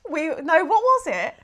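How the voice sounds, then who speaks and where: A young woman co-hosting a casual podcast, telling stories in a bubbly, sheepish way. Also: very high voice. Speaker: co-host.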